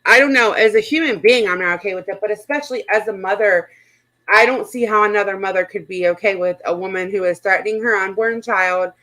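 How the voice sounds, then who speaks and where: Woman livestream host, mid-pitched voice; casual, blunt, foul-mouthed commentary. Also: fairly quick, fairly bright tone, low-quality recording. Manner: blunt, frequent swearing.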